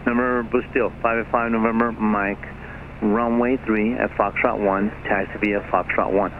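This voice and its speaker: Ground control guy, monotone, thin radio sound with some noise. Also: on the low side, normal speed. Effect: aircraft radio. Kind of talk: procedural radio taxi instructions. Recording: tinny aviation radio, faint noise.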